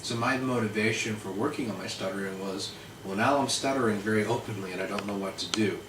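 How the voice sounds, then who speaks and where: A man's voice: on the low side, fast. A man who hosts the chat, asking questions and stuttering now and then. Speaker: host. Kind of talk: casual chat. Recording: echoey room, distant mic, noisy.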